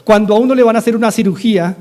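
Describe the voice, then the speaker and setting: High-voiced Colombian preacher. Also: male voice, racing, darkish tone. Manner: earnest, repetitive exhortation. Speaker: pastor. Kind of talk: sermon. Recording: studio-like radio sermon.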